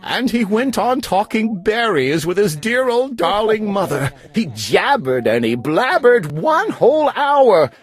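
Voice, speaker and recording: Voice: mid-range voice, sing-song, unhurried, hardly any pauses, husky. A storyteller giving a dramatic narration of a rhyming tale for children.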